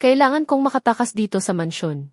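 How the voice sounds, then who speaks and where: A woman's voice: on the high side, very animated, brisk, a little mellow, dry recording, clean studio sound. A Filipino-accented woman voicing a desperate, defiant young male heir in a narrated story, intense and urgent.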